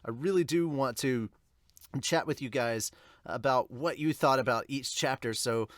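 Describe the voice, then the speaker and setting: A man's voice: mid-range voice, moderate pace, no echo. Casual man rambling, very dry sound. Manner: rambling, informal. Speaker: podcast host and manga reviewer. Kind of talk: casual chat to viewers.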